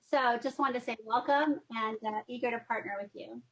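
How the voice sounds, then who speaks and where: A woman's voice: a little high, steady tone, a little fast. A woman commissioner offering a welcome at a video call meeting, speaking with many fillers. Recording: dull lo-fi video call audio.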